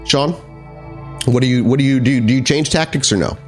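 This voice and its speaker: A man's voice: mid-range voice, brisk. A man running a tabletop horror game, narrating descriptively and searching for words as he improvises. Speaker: game master. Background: background music.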